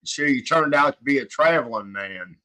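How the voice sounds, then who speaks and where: A man from Ohio hosting a casual livestream chat, friendly and quick to reply to his guest. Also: medium pitch, animated, sharp tone, poor audio. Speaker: livestream host.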